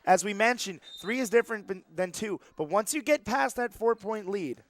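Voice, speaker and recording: A man's voice: sits high, expressive, moderate pace, no echo. A man giving conversational, appreciative color analysis during a live volleyball broadcast from a gym. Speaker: color commentator. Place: courtside in a gymnasium.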